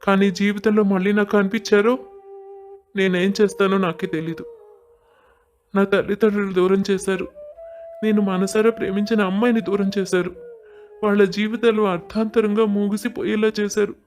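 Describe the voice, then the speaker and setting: A man's voice: sits high, flat delivery, fairly quick, long pauses, faint music behind, lightly acted. A man playing a wronged, emotional young husband in a scripted audio story drama. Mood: bitter anger.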